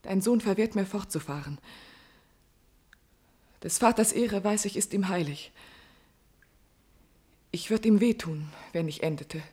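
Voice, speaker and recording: An actress playing a noble, courageous young princess in love, declaiming impassioned verse in a classical German radio tragedy. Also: female voice, mid-range voice, unhurried, pauses often, dark tone, husky. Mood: bold reproach.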